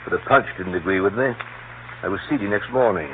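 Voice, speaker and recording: An actor playing an affable, respectable older gentleman with a clubman's bluster, in 1930s radio drama style. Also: fairly low pitch, quick pace, lightly acted. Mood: musing. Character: genial older club gentleman, respectable, affably indignant uncle. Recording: noisy, tinny 1930s radio broadcast.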